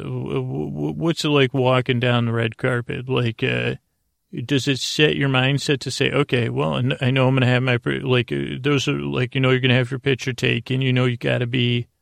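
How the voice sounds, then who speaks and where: Very creaky man rambling haltingly. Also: medium pitch, no room sound, clean studio sound. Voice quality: creaky, gravelly. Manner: halting, meandering, stammering and repeating words; deliberately slowed-down delivery. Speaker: podcast host. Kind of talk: rambling improvised sleep-podcast monologue.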